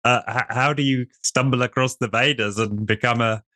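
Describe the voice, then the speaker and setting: Bright-voiced podcast host, man. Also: medium pitch, fairly even intonation, normal speed, no room sound. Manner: many filler "uh"s and restarts. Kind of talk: interviewer.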